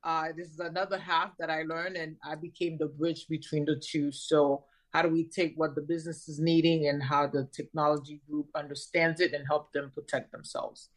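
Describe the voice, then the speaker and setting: A woman's voice: low-pitched, level tone, moderate pace, few pauses, poor audio. A woman in her forties from Haiti, a guest on an interview show, talking about her career in an enthusiastic, chatty way with frequent laughs. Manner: warm, enthusiastic, laughs often, many fillers. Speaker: guest, cybersecurity company founder. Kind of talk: conversational interview.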